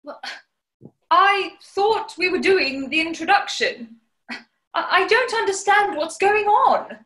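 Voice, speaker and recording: A woman's voice: very high voice, lively intonation, takes it slowly, bright, forceful tone, echoey room, full character voice. A woman playing a plucky, proper housemaid who also narrates, in a 1940s-style comedy radio play. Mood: polite, flustered.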